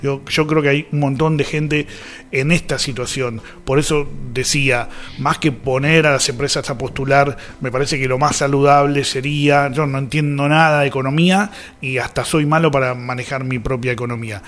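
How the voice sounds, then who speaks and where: A man's voice: medium pitch, fairly even intonation, moderate pace, a bit bright. A man with an Argentine accent hosting an informal radio show, thinking aloud conversationally. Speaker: radio host. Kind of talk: casual radio talk with listener messages.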